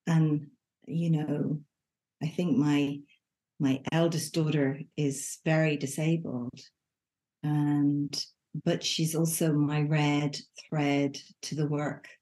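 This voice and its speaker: A woman's voice: low-pitched, level tone, slow, mellow. An older woman from Ireland, a spiritual teacher, speaking earnestly in a reflective conversation and often restarting her phrases.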